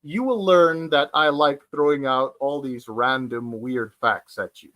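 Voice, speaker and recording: A man of forty hosting a casual livestream chat from home, speaking hesitantly with many fillers and restarts and pausing to read out viewer comments. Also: mid-range voice, a bit bright. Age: 40s. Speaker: livestream host. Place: at home, sitting on the floor.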